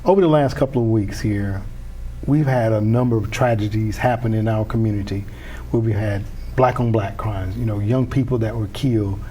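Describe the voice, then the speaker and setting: A man's voice: on the low side, steady tone, normal speed, mellow, slight background noise. A man hosting a community TV interview, chatty and enthusiastic, often restarting his sentences. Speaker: host.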